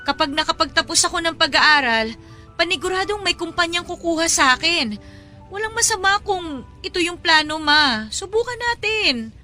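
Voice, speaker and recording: A woman's voice: extremely high pitch, animated, normal speed, bright, forceful tone, faint music behind, full character voice. A young woman playing a reluctant, dutiful daughter in a radio drama, pleading timidly and respectfully. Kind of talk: Tagalog radio drama acting.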